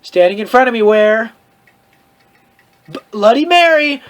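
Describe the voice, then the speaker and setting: A man's voice: extremely high pitch, expressive, a little slow, lo-fi sound. A man hosting a comedic read-aloud of fan fiction, with theatrical, mocking asides and casual commentary.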